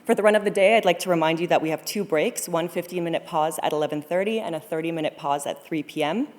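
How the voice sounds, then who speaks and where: A woman's voice: fairly low pitch, fast talker. A woman hosting a conference, delivering a scripted welcome speech to open the event. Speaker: conference co-programmer, host introducing event. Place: large studio auditorium, on stage. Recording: echoey hall with long reverb.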